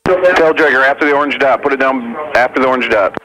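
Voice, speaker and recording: A man's voice: mid-range voice, fast, crowd noise, rough recording. A man working as an air traffic controller, giving repeated directions with polite pleases over aviation radio. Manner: directive, repetitive, says please. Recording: aviation radio with slight noise.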